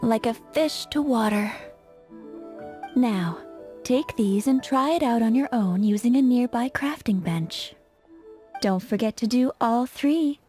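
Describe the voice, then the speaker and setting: A flirtatious perfumer, high mellow voice. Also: female voice, takes it slowly, faint music behind. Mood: poised, instructive. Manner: coquettish, instructive, poised. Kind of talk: English video game voice acting.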